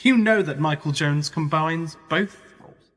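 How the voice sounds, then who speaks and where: An actor playing a polite, cautious magazine journalist in a radio play, speaking in tactful, reserved, measured replies. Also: male voice, a little high. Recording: reverberant room, faint background noise.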